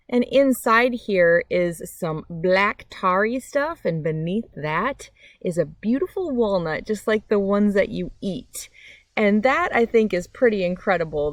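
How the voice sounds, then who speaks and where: Animated woman reading to children. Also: mid-range voice, no room sound. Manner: animated storyteller, simple friendly explaining to children. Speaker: read-aloud host. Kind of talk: children's storybook read-aloud with casual chat. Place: outdoors by a meadow.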